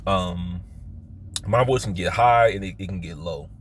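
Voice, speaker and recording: Male, Philadelphia accent, lowish voice. Casual, rambling personal storytelling with halting, repetitive phrasing. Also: a little hiss or hum. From Philadelphia, United States. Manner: halting, circles back and repeats himself. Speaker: vlogger telling his life story.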